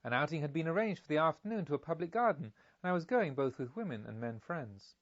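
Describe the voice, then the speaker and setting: A man reading literary narration in a measured, formal and expressive storytelling manner. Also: a little high, dry recording, highs cut off. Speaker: audiobook reader. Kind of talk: scripted literary narration in first person.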